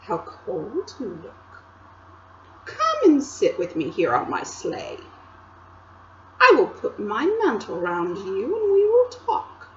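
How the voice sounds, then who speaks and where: A woman's voice: medium pitch, wildly swooping pitch, slow pace, long pauses, a bit bright, highs cut off, slight background noise, heavily acted. A woman putting on a falsely sweet, commanding queen. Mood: coaxing. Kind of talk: storybook read-aloud.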